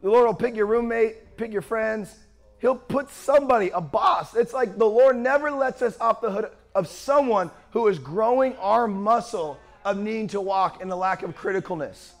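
A young man preaching a sermon with a worn-out, strained voice and a loud, projecting manner. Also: sits high, normal speed. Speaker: pastor.

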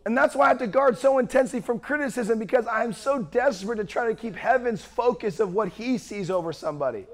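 A young man preaching a sermon with a worn-out, strained voice and a loud, projecting manner. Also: very high voice, fast. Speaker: pastor.

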